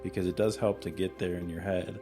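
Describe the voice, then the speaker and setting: A man in his forties, co-hosting a podcast, talking candidly and a little haltingly in casual chat. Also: low-pitched, level tone, music in the background. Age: 40s. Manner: candid, hesitant with restarts. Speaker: podcast co-host.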